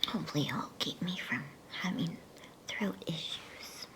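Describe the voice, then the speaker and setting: A woman softly whispering an ASMR bedtime story as storyteller, hushed, soothing and unhurried. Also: on the low side.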